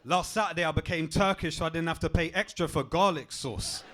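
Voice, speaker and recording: Deep-voiced stand-up comic man. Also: a little fast, background noise. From England. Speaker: stand-up comedian. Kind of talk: stand-up comedy set. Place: comedy club stage.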